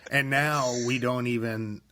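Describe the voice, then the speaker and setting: A man's voice: medium pitch, fairly even intonation, measured pace, pushed and bright. A man co-hosting a casual gaming podcast, rambling and restarting his sentences as he chats. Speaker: podcast co-host. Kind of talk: casual chat.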